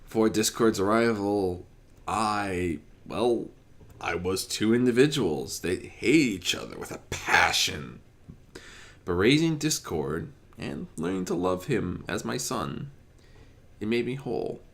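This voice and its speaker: Gentle, fatherly armored god of order, read by a man. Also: fairly low pitch, big pitch swings, creaky, exaggerated voice. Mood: reflective, fond. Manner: soft-spoken, courteous, measured. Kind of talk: fan fiction audiobook character reading.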